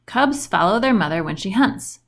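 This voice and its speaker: A woman reading a children's book aloud in an expressive storytelling style with questioning intonation. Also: medium pitch, normal speed.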